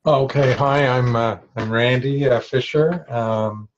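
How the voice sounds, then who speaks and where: A middle-aged man introducing himself on a video call, halting and often restarting. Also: medium pitch. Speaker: course participant. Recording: dull lo-fi video-call audio.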